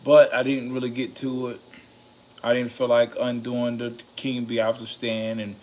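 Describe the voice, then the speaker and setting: A raspy-voiced man rambling casually about microphones, slow and meandering, with many ums and a slightly loaded, loose delivery. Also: medium pitch, completely flat delivery, a little mellow, poor audio. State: a bit intoxicated. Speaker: home studio vlogger reviewing microphones. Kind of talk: rambling casual talk to camera.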